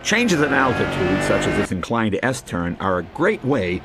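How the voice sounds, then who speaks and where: A man giving scripted, instructional narration as a driving tutor, clearly enunciated, with racing car noise behind him. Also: on the low side, sing-song, normal speed, loud music behind the voice. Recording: loud race car engine noise. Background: racing game car sounds.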